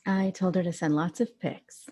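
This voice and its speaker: A woman's voice: on the low side, steady tone, moderate pace, dark tone, natural voice. A woman acting in an audio drama as a persistent young mother who wants her ex back, speaking insistently and intimately. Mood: casual. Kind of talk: naturalistic scripted audio drama. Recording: music playing under the voice.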